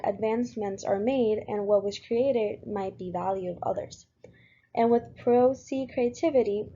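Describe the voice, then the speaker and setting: A young woman from Puerto Rico, a college student, reading a class presentation in a steady, earnest way with a few fillers. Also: on the high side, highs cut off. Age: young adult.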